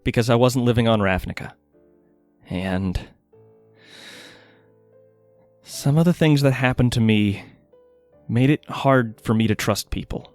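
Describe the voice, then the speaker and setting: A man's voice: mid-range voice, moderate pace, darkish tone, dry recording, faint music behind, close to an everyday voice. Man acting guarded, wry inventor mage, close and dry. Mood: earnest, vulnerable. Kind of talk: audio drama dialogue.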